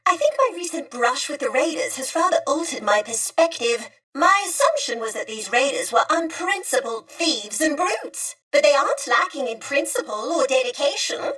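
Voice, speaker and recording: Video game droid: high, courteous, old-fashioned and earnest, wistful streak, sounding set back from the mic. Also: female voice, expressive, moderate pace, hardly any pauses, bright, forceful tone, far from the mic, poor audio. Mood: prim indignation. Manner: prim, formal, courteous diction. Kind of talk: video game character acting.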